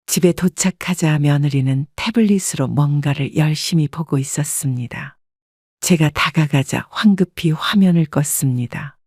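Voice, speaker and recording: A woman in her sixties narrating her own story in first person, calm and measured. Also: deep voice, no echo, clean studio sound.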